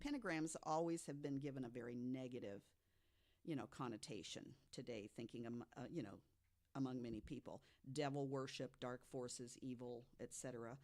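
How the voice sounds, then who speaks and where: Podcast co-host, mid-pitched, deliberate delivery from notes, conversational with emphatic asides. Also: darkish tone. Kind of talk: storytelling from notes to co-hosts.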